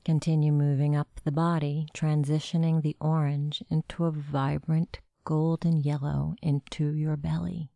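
A woman narrating a guided meditation with gentle, measured instructions. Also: sits low, flat delivery, vocal fry, no echo.

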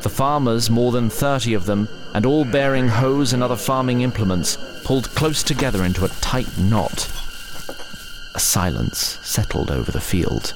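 A man narrating a scripted fantasy story in a deliberate storytelling delivery. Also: medium pitch, lively intonation, noisy background.

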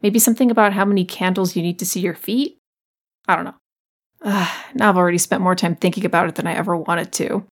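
A woman's voice: on the low side, fairly even intonation, quick pace. A woman playing a sarcastic, rambling teenage sound editor in a comedic audio drama. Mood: dry, annoyed. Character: teenage sound editor hosting a show, sardonic, self-doubting rambler.

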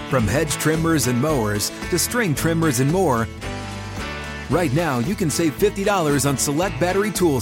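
Male commercial voice-over over loud guitar music. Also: on the high side. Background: music with plucked guitar.

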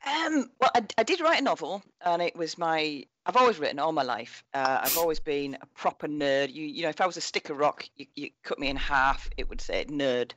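A woman's voice: deep voice, lively intonation, a little fast, few pauses, a bit bright, dry recording, dull audio. Chatty self-mocking woman. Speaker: guest fantasy author. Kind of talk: casual podcast chat. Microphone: video call.

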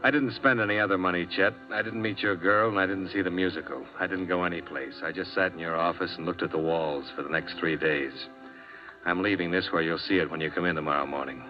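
An actor playing a world-weary insurance investigator in a 1950s radio detective drama, narrating tersely and dryly. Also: male voice, low-pitched, fast, natural voice. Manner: terse hard-boiled narration, dry and tired. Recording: dull old radio transcription with music.

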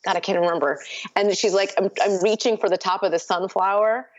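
A woman in her fifties whose first language is Urdu, telling stories in a relaxed conversation with many "like"s and "you know"s. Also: mid-range voice, a little fast, muffled recording. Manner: chatty, storytelling, frequent "like" and "you know". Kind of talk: casual conversation.

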